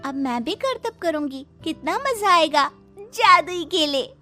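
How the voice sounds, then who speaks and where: A woman's voice: very high-pitched, expressive, a little slow, sharp tone, soft background music, full character voice. A woman voicing a stubborn little village girl in a cartoon, whiny and insistent. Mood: excited. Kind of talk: cartoon voice acting.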